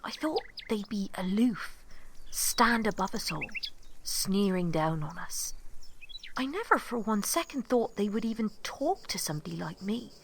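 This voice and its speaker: A woman's voice: mid-range voice, expressive, measured pace, a little hiss or hum. An actress playing a starstruck, naive and outspoken young woman in an audio drama, earnest and candid. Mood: wondering, musing. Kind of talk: naturalistic audio drama acting.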